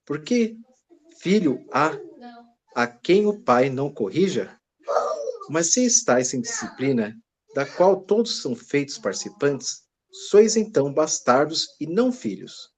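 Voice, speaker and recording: A man's voice: a little high, very animated, measured pace. A man taking part in an online church study, reading a Bible passage aloud, stumbling and restarting words and apologizing for it, from a distance on a video call. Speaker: study participant reading scripture.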